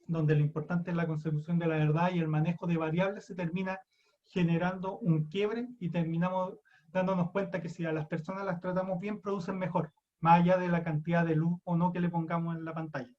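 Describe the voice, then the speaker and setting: High-voiced Chilean lecturer on a muffled call. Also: male voice, flat delivery, fairly quick. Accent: Chilean Spanish. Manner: casual, self-deprecating, thinks aloud with restarts. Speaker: university lecturer. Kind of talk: online class lecture. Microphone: computer mic on a video call.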